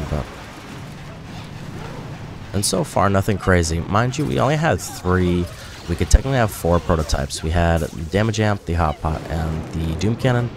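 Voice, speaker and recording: Deep-voiced man, slightly nasal from a cold. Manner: rambling, self-correcting, chatty. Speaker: gaming YouTuber. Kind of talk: casual gameplay commentary. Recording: music playing behind.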